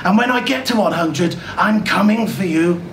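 Man, live dramatic story reading, naive child character, hesitant stammers, roomy sound, clear background noise. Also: high voice, normal speed. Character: naive, chatty, frightened young child at a party. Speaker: reader at a live short story event. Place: small indoor event room. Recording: roomy, distant camera recording with loud noise.

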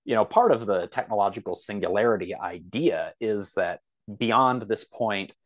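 A man with a fairly low voice speaks as a guest on a podcast. He explains in an informal, conversational way, often thinking aloud and feeling for the right word. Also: normal speed. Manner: thinks aloud, searching for words. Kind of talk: casual explanatory podcast talk.

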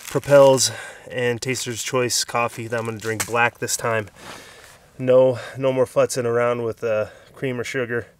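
Tired, chatty man on a mountain summit. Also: mid-range voice. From Southern California. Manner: chatty, tired and laughing, filler-heavy. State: exhausted after a hard climb. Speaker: hiking vlogger. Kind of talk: casual vlog talk to camera. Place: outdoors on a forested mountain summit. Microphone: handheld camera at arm's length.

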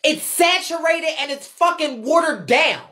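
A woman's voice: high-pitched, lively intonation, measured pace, sharp tone. A woman ranting off the cuff on a livestream, blunt and emphatic, swearing freely in heavy slang and repeating phrases to drive points home. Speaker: livestream host, ballroom scene commentator. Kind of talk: unscripted livestream rant.

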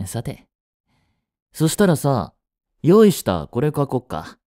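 A young man acting a jealous, possessive yandere boyfriend in a romantic voice drama, with a soft, coaxing, controlling manner that is sweetly menacing. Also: medium pitch, wildly swooping pitch, takes it slowly, no room sound, clean studio sound. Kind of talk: Japanese romantic situation voice drama.

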